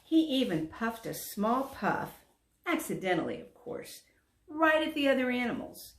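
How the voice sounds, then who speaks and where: A woman volunteer narrating a children's story aloud in an expressive, emphatic storytelling manner. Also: fairly high pitch, measured pace. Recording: roomy echo.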